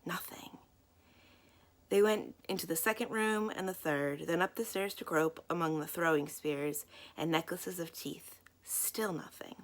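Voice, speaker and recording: A woman's voice: lowish voice, a little slow. A woman reading a children's book aloud as a storyteller, in scripted narration.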